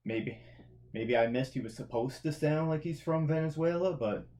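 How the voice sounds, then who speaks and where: A man's voice: fairly high pitch, normal speed, mellow, a little hiss or hum. A man from Canada, co-hosting a movie and TV review podcast in a casual, rambling way, often restarting his sentences. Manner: rambling, self-correcting, chatty with frequent restarts.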